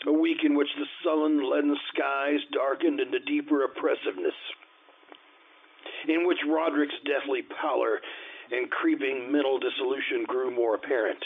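Man playing an earnest gentleman, tinny radio sound. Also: mid-range voice, steady tone, lightly acted. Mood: ominous, brooding. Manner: formal, deliberate, dramatic. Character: earnest, troubled gentleman narrator, loyal old friend. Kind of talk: old-time radio drama narration and dialogue. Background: prominent music.

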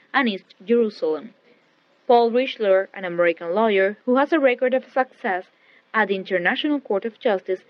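A woman's voice: a little high, dry recording. A woman reading scripted international news as a radio newsreader. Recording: dull, band-limited shortwave radio audio.

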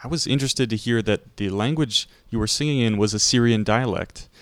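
A man's voice: mid-range voice, fairly quick, no room sound. A man hosting a radio show, interviewing guests with friendly, plain questions.

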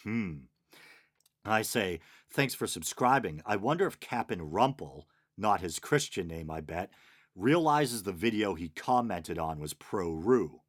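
Deep-voiced Boston man, wry podcast host. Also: lively intonation, a little slow. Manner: wry, dryly sarcastic, chuckling asides. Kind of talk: casual solo podcast chat, reading listener comments.

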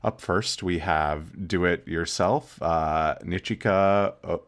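Male co-host, very deep voice, relaxed, filler-heavy delivery. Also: takes it slowly. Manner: laid-back, chuckling, with filler words. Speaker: podcast co-host. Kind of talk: casual chat.

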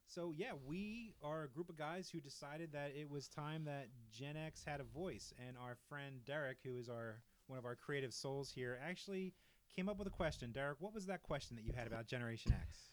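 A man's voice: on the high side, fairly quick. A man in his early forties hosts a podcast, speaking in a casual chat and steering the talk along easily. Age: 40s. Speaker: podcast host.

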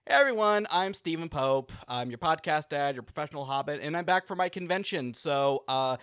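A man's voice: on the high side, lively intonation, brisk, a bit bright, clear, resonant tone, no echo, clean studio sound. A man hosting a casual podcast, joking and playful as he talks. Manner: playful, jokey. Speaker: podcast host.

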